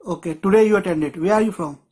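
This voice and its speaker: A man's voice: high voice, fast talker, a little mellow. A man teaching a screenwriting class on a video call, explaining in a loose, rambling way with many restarts. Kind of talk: live online class.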